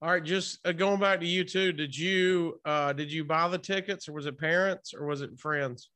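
High, bright-voiced male radio interviewer. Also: fairly even intonation, moderate pace, clean tone. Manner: informal, jokey. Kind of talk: casual interview chat.

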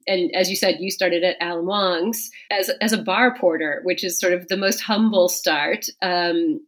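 A woman's voice: fairly low pitch, moderate pace, fairly bright tone. A woman hosting a podcast, speaking in a conversational interview style as she introduces and questions guests.

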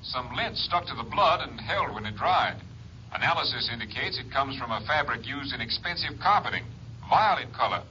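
A brisk, businesslike captain who reports the facts plainly. His voice is bright and sharp, and the sound is muffled like an old radio broadcast with faint hiss. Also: mid-range voice, lively intonation. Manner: matter-of-fact, reporting. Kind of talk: 1950s radio drama acting.

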